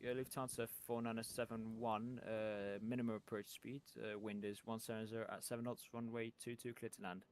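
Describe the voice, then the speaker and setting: Man, air traffic control phraseology, hesitant, level pitch, clean crisp voice. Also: flat delivery. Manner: hesitant, halting delivery with many pauses. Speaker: air traffic controller in an online flight simulation game.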